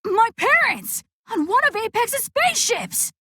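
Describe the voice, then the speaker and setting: Young boy game hero: plucky, cocky, eager, animated delivery in an extremely high register. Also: unhurried, dry recording, clean studio sound, exaggerated voice. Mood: urgent. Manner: brash, eager. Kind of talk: animated game cutscene acting. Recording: loud music behind the voice.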